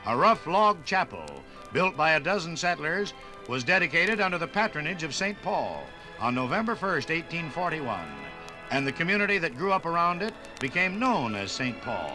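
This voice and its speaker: A man narrates in 1950s radio documentary style. His fairly high voice moves expressively through dramatic, oratorical phrases. Music plays clearly behind him. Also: very animated, moderate pace, rough recording.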